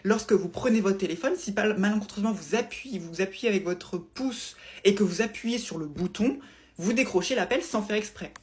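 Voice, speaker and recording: Female presenter, medium pitch, casual explainer delivery, emphatic and didactic, rephrases often. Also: a little fast. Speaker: presenter explaining phone interface design. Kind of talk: casual explainer talk to viewers.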